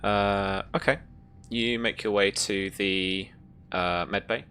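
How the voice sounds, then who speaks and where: A game master with a low voice, improvising narration for a tabletop roleplaying game. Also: fairly even intonation, slow, a bit bright. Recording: faint background noise, keyboard clicking.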